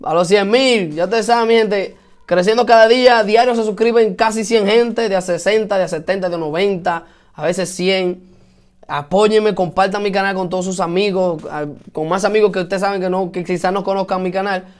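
A man's voice: sits high, fairly even intonation, fairly quick. A man with a Dominican accent hosting a casual home live stream, chatty and encouraging, greeting viewers and answering their comments, repeating points for emphasis. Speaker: host of a live stream for musicians.

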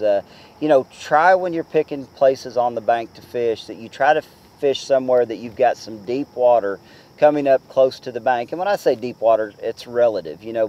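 A man in his forties giving casual fishing tips to the camera from a boat on a lake, talking in a relaxed, chatty way with many fillers. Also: mid-range voice, muffled recording. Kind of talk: casual how-to talk to camera.

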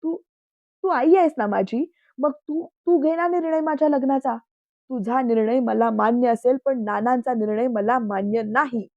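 A woman's voice: mid-range voice, a little slow, dark tone, dry recording, lightly acted. Woman doing a hot-tempered young man. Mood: angry. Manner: heated, insistent, reproachful. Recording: dull dry lo-fi recording.

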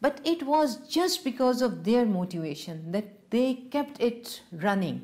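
A woman's voice: on the high side, sing-song, normal speed, a little mellow. A woman in her forties recounting an account in a composed manner during an interview in an indoor office.

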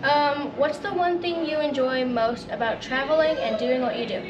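A woman's voice: sits high, normal speed, dull audio, background noise. A woman vlogging and asking interview questions in a casual, chatty style.

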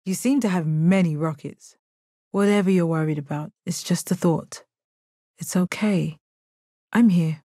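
An actress voicing a calm, stoic alien warrior woman in a video game, with measured, deadpan, formal phrasing and a confident, kind temper. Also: lowish voice, no room sound, studio quality. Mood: assured. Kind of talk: video game character voice lines.

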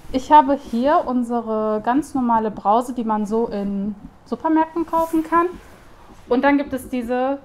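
A woman chemistry teacher explaining an experiment step by step in a science classroom. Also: high voice. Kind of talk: classroom demonstration.

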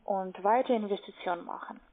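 Casting: woman, non-native with foreign accent, halting phone sales agent, thin telephone sound. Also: mid-range voice. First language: not German. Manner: halting, searching for words. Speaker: call-center investment agent. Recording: tinny narrow phone line.

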